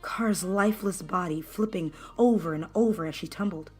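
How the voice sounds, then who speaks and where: A woman's voice: lowish voice, a little fast, breathy edge. A woman reading scripted fan fiction as a dramatic narrator. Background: background music.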